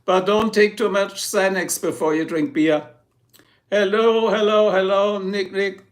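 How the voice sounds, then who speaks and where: Male streamer, German accent, high-pitched voice, jokey and rambling, laughs a lot. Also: steady tone, unhurried. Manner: joking, rambling, laughs often. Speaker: livestream host. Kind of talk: casual livestream chat with viewers.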